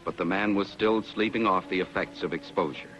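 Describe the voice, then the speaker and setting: A man's voice: sits low, fairly quick, a bit bright, muffled recording, soft background music. A man giving formal, dramatic narration for a 1950s TV adventure.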